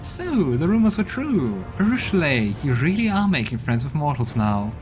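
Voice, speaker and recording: A man's voice: a little high, very animated, moderate pace, voice pushed up. A man voicing a proud, scornful female demon ruler from a role-playing game, speaking in a smug and venomous way. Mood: mocking. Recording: game music playing behind.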